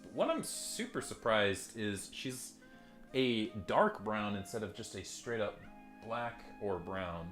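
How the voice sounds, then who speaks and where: A man's voice: sing-song, slow, fairly bright tone, soft background music. A man reviewing toys in a casual, rambling, self-deprecating way, swearing offhand. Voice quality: deep voice. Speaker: toy reviewer. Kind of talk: casual unboxing chat. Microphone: desk microphone and phone mic.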